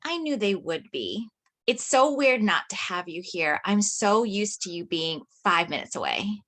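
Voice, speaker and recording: A woman's voice: medium pitch, sing-song, a little slow, fairly bright tone. A woman reading an eager, chatty young woman friend at a script table read.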